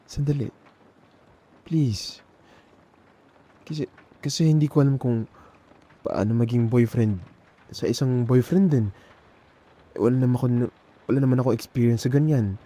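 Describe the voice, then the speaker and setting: A man with a Filipino accent playing a nervous, tender young man in an intimate ASMR boyfriend roleplay, speaking haltingly and repeating words. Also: medium pitch, mellow, natural voice. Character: nervous, tender young man confessing love to male best friend. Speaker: ASMR audio roleplay performer.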